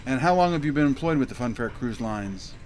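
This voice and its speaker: An actor playing a clueless, quirky dad detective, narrating in an earnest, scene-setting way for a comic audio drama. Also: male voice, medium pitch, animated, brisk, a little mellow, slight background noise, natural voice. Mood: puzzled. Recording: faint music under the voice.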